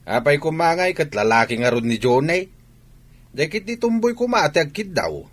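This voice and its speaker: A man's voice: on the high side, big pitch swings, normal speed. A man playing an indignant, stubborn, disapproving father in a radio drama. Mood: scornful. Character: indignant, stubborn father ashamed of his child.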